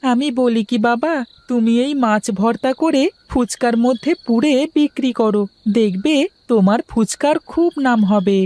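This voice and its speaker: Mellow, highish cartoon boy voiced by a woman. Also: moderate pace, close to an everyday voice. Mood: helpful. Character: helpful, sensible young village son. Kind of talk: children's cartoon acting.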